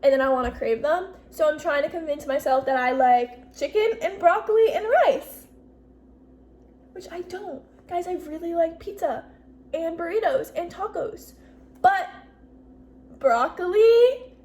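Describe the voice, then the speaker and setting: Bubbly high-voiced young woman. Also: animated, unhurried, darkish tone. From US Northeast. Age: 20s. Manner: bubbly, chatty, heavy use of "like" and "literally". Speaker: YouTuber. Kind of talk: casual Q&A vlog.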